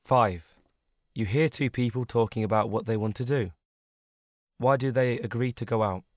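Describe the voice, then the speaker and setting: Male narrator, scripted exam read, mid pitch, dry close recording. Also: moderate pace, dry recording. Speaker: listening test narrator.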